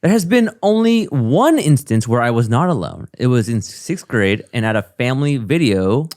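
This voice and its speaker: A man's voice: mid-range voice, big pitch swings, normal speed, darkish tone, dry recording. A man co-hosting a comedy podcast, reading a listener's email aloud in a dry, matter-of-fact way with joking asides. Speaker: co-host.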